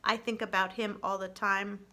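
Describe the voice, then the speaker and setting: Woman voicing a calm, measured robot. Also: medium pitch, close to an everyday voice. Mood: calm, earnest. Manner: measured, formal phrasing without contractions. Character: gentle, earnest female robot, calm and caring. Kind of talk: children's storybook character voice.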